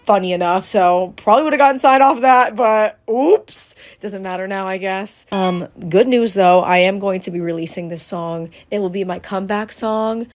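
Woman singer in her twenties, casual offhand voice-over, mid pitch. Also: moderate pace, hardly any pauses. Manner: offhand, casual, swears lightly. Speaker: singer-songwriter.

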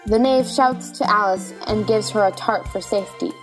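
A woman's voice: medium pitch, moderate pace, soft background music, poor audio. A woman narrator reading stage directions as scripted narration.

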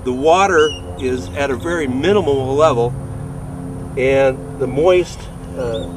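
Male, fifties, hesitant technical explainer, informal, off-mic sound with noticeable background noise. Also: medium pitch. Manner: halting, hesitant delivery. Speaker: sports turf manager. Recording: distant, roomy sound with clear background noise.

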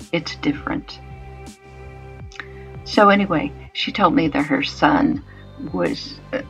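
Slow gravelly lady rambling thoughtfully while painting, kinda dull lo-fi sound, faint music. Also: deep voice. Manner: slow, thoughtful, digressive, with many restarts. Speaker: painting vlogger. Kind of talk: casual reflective monologue while painting.

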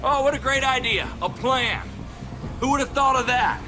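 An actor playing a hot-tempered, distrustful survivor in a video game, sarcastic and abrasive. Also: male voice, very high-pitched, normal speed. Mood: sarcastic, frustrated. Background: music.

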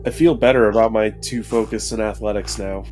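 A man's voice: fairly low pitch, fairly even intonation, fairly quick, a little mellow, faint music behind. A man chatting casually as a player in a tabletop role-playing game.

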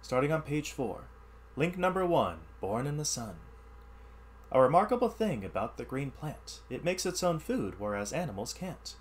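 A man teaching through an expressive read-aloud of rhyming science verse, with explanatory asides in an instructional manner. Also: a little high, moderate pace. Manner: animated, instructional.

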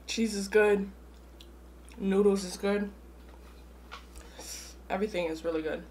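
A woman's voice: mid-range voice, fairly even intonation, a little slow, darkish tone, a little hiss or hum. A young woman hosting an eating show, chatting casually between bites in a room at home. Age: young adult. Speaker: mukbang host. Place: small room indoors at home.

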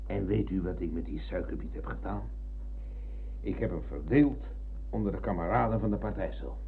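A man playing a proud, self-righteous old ex-prisoner in a radio drama, husky and emphatic, telling things with rhetorical flourishes. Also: deep voice, normal speed, slight background noise. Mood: reminiscing, proud. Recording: muffled, narrow-band old radio recording.

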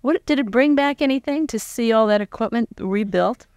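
A woman's voice: on the high side, a little fast, darkish tone, no room sound, clean studio sound. An American woman in her forties interviewing a guest on stage in an informal, conversational way with some restarts. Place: stage before a live audience. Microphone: large windscreened microphone close in front.

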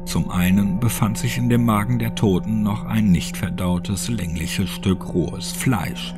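Low-voiced man narrating horror over music. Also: a little slow. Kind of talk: scripted audiobook narration of a creepypasta. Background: background music.

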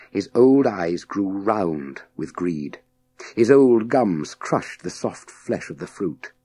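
A man narrating a children's science fiction audiobook in a measured, dramatic storytelling manner with deliberate pauses. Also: low voice, mellow, breathy edge, no echo.

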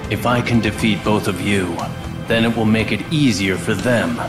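A man playing an aloof, quietly determined young warrior in a video game, terse and restrained. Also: lowish voice, moderate pace, over music, natural voice.

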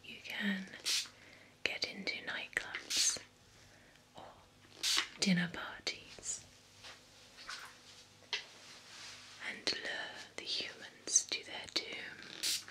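A young woman playing a poised, courteous female vampire spa beautician in a breathy, soft ASMR whisper. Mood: sly, hushed. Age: young adult. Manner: slow, soothing, formal, attentive. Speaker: ASMR performer. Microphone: close binaural microphone. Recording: close ASMR microphone with background hiss.

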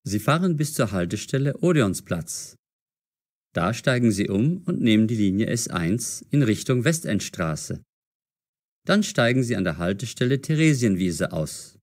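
A man acts out a friendly young man in a radio-play mystery. His voice sits fairly low, and he speaks his lines clearly and deliberately. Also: big pitch swings. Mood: helpful. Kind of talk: audio drama dialogue.

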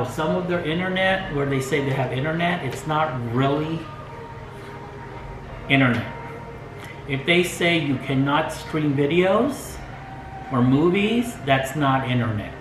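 Older male vlogger, unhurried and opinionated, recorded in a roomy space with soft music underneath. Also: a little high. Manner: slow, opinionated, chuckles as he talks. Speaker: RV travel vlogger. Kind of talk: casual talk to camera. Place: home dining room, indoors. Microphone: fixed camera across the table.

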